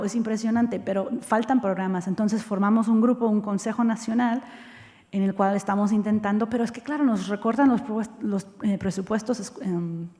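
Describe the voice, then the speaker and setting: A woman's voice: fairly high pitch, darkish tone. A woman speaker answering audience questions after a talk, candid and jokey, mixing Spanish and English. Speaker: guest speaker. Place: lecture hall. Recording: long hall reverb.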